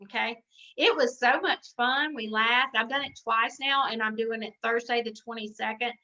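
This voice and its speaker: A woman's voice: fairly high pitch, steady tone, normal speed, pushed and bright. A woman giving an informal training talk on a conference call, chatty and rambling, often thinking aloud. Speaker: sales director training consultants. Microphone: conference call line. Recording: dull, lo-fi conference call audio.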